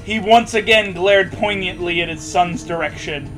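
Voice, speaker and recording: A man with a notably high voice reads aloud in a mocking, sarcastic way. He drops into casual banter and pronounces the punctuation as he goes. Music plays faintly behind him. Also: moderate pace, low-quality recording. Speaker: host reading fan fiction aloud.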